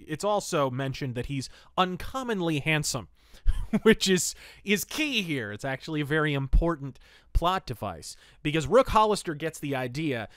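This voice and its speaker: A man hosting a podcast, giving an opinionated, conversational review in a casual, animated way. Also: fairly high pitch, no echo, studio quality. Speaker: podcast host reviewing pulp fiction.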